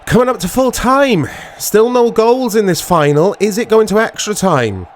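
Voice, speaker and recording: A man giving casual commentary on a football video game in short reactive fragments, his voice strained and a little hoarse. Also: high-pitched, animated, normal speed, slight background noise. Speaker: gaming channel host.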